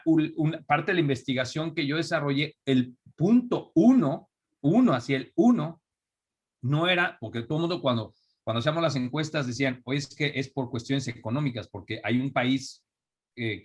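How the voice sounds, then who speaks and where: A young adult man from Mexico with a Mexican accent, speaking as an interview guest in a relaxed, reflective way with frequent restarts. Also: mid-range voice, husky. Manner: thoughtful, restarts often, reflective. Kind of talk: conversational interview.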